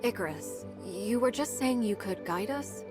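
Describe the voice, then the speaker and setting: A woman's voice: medium pitch, lively intonation, measured pace, natural voice. A woman playing a composed, direct and attentive female colleague in a video game. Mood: inquiring. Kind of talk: scripted video game dialogue. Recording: game music behind the voice.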